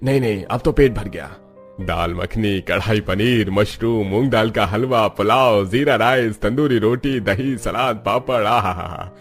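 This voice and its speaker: A man's voice: fairly low pitch, unhurried, soft background music. A man voicing a kindly, traditional older father-in-law welcoming his family in a cartoon. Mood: delighted.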